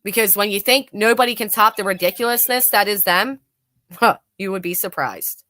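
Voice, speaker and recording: A woman's voice: mid-range voice, moderate pace, sharp tone, no echo. A blunt, sarcastic woman hosting a live stream, mocking clips, chatting with viewers and swearing freely. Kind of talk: live commentary reacting to clips and reading chat.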